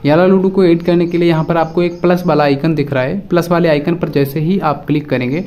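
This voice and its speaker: A man's voice: fairly high pitch, steady tone, brisk, darkish tone. A man presenting a casual step-by-step phone app tutorial, addressing viewers directly and often repeating his instructions.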